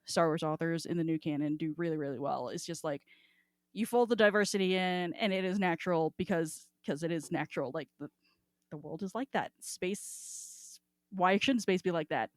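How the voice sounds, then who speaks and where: A woman's voice: lowish voice, normal speed, no room sound. Woman chatting casually, very dry sound. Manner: sweary, informal, full of emphatic asides. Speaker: podcast co-host. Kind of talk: casual book discussion, animated chat.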